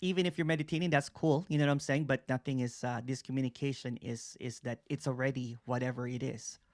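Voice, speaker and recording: A man hosting a casual talk on nonduality over a video call, relaxed and halting, with many "uh"s. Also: mid-range voice. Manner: relaxed, halting with "uh", laughs easily. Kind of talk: casual chat.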